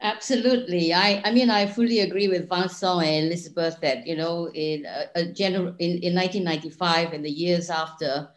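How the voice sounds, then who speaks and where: A woman's voice: lowish voice, fairly even intonation, brisk, hardly any pauses. A woman speaking emphatically as a panelist and former operations head on a video call. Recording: echoey room on video call.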